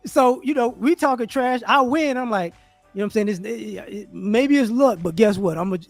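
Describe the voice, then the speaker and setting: High-voiced man, slangy and animated. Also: moderate pace, gravelly, no echo, soft background music. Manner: slangy, animated storytelling with many restarts. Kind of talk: casual discussion. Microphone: remote call setup.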